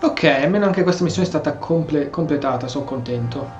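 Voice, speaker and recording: A man's voice: a little high, quick pace, highs cut off. A man with an Italian accent giving casual, playful gameplay commentary, reacting aloud as host. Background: game music.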